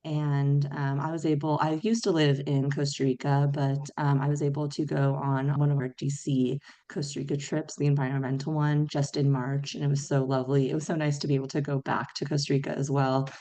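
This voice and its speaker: Coordinator, woman, very deep mellow voice, relaxed intro. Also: a little fast, hardly any pauses. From Los Angeles. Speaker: trip coordinator. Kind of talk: casual self-introduction.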